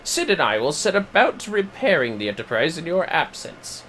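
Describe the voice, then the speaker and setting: Over clear background noise comes a man acting as an earnest, eloquent strategist from a fantasy game. The delivery is formal and declamatory, with lively swings in pitch. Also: moderate pace. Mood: thoughtful, resolute. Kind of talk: acted reading of fantasy game dialogue.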